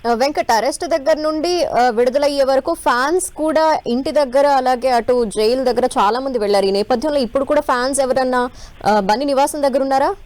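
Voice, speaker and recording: A woman's voice: sits high, fairly quick, darkish tone, no room sound. A woman presenting live news as an anchor, putting questions to a reporter.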